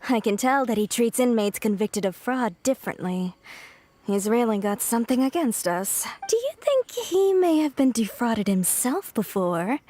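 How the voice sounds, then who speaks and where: High-pitched, coy female swindler. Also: a little mellow. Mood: coy, apologetic. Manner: coy, dramatically self-pitying. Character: sly, flirty convicted swindler woman, wheedling and theatrical. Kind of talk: video game voice acting.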